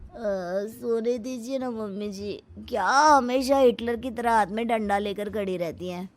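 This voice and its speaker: A woman's voice: fairly high pitch, a little slow, natural voice. A woman voicing a lazy, whiny young man, a complaining son-in-law in a cartoon. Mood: sleepy, grumbling.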